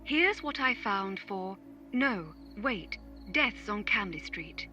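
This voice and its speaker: A woman voicing a polite, unflappable phone voice assistant in an audio drama, measured and impersonal, through a small device speaker. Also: mid-range voice, expressive, bright, forceful tone, soft background music. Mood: politely apologetic. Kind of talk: audio drama acting, scripted read-out. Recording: dull lo-fi device-speaker sound.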